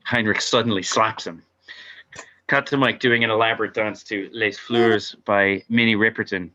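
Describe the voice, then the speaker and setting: A man's voice: on the low side, steady tone, moderate pace, pushed and bright. A man reading out stage directions as narrator at a script table read, on a lo-fi recording.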